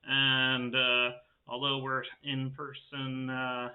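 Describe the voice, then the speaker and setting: Phone-like man co-hosting, flat delivery. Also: mid-range voice, slow. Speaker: co-host of an online student arts show. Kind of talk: informal show introduction. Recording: phone-like, thin online call audio.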